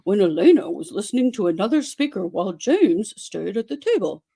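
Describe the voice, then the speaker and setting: A woman giving a theatrical dramatic reading of a story, with exaggerated delivery and dramatic pauses. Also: mid-range voice, very animated, vocal fry, dark tone, breathy edge. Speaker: dramatic reader.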